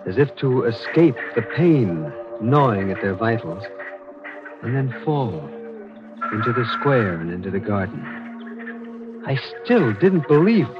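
Slow, brooding, anguished gothic lover, man. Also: medium pitch, sing-song, music in the background. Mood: brooding dread. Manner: brooding, deliberate, earnest. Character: grave, anguished man in love, gothic melodrama. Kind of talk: 1940s radio drama acting. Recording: dull lo-fi 1940s radio recording.

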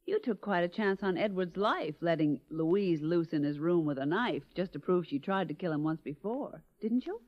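An actress playing a witty, arch, teasing secretary to a detective in 1940s radio drama style. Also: female voice, on the low side, lively intonation, normal speed, few pauses, natural voice. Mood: curious. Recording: muffled old 1940s radio recording.

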